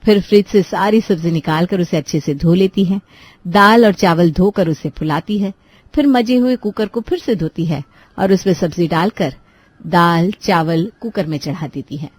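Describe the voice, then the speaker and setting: A woman's voice: on the low side, normal speed, darkish tone, no room sound. Dry, close-recorded woman storyteller. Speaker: narrator. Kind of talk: scripted narration of a children's moral story.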